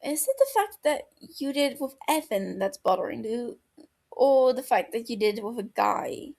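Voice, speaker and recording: A woman as a soft, probing young man. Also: on the high side, expressive, moderate pace, creaky. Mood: gently probing. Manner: soft, careful, placating. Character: gentle, probing young man, calm concerned friend.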